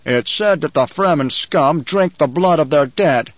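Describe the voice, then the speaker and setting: A man's voice: medium pitch, normal speed, dry recording, dull audio, close to an everyday voice. A man doing a stiff, sneering banker. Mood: angry.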